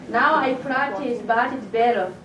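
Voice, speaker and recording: A woman, speaking slowly and a touch high. Her simple, earnest English comes from a distance in an echoey chamber, with a faint hum behind her. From Tanzania. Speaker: award recipient. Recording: echoey chamber audio from afar.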